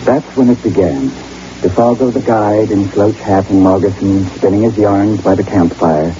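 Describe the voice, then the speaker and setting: An actor playing a nervous hunter narrating an eerie ordeal in a brooding, deliberate way for an old radio drama. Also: male voice, lowish voice, noisy background, close to an everyday voice. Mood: tense, foreboding. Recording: muffled old radio recording over music.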